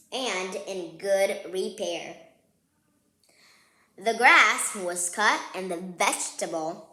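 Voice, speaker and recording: Slow-paced woman reading to kids, roomy echo. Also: mid-range voice. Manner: playful, expressive, talks to young listeners. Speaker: read-aloud host. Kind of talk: animated children's picture book read-aloud with asides. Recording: roomy, echoing sound.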